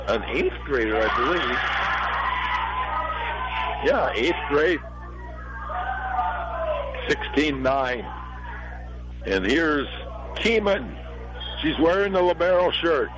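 Middle-aged American man, hoarse allergy-worn voice, higher pitch, folksy local sports play-by-play, muffled lo-fi radio sound. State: hoarse, losing his voice from allergies. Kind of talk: live local volleyball radio commentary. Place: high school gym. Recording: dull lo-fi radio broadcast sound.

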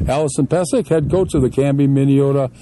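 A man hosting a casual local radio sports interview, chatty and quick with follow-up questions, laughing often. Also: medium pitch, noisy background.

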